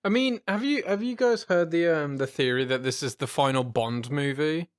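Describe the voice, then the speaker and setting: A man's voice: a little high, moderate pace, clean tone, dry recording, studio quality. A man from the UK, co-hosting a film podcast, chatty, enthusiastic and swearing freely. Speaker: podcast co-host. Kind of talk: casual film chat.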